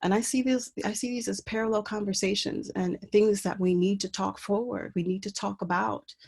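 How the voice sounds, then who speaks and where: A woman's voice: mid-range voice, steady tone, moderate pace, creaky, darkish tone, slightly rough. A woman from Puerto Rico, an artist walking through slides of her work in an online talk, speaking hesitantly with many ums and restarts over a video call mic. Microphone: computer mic on a video call.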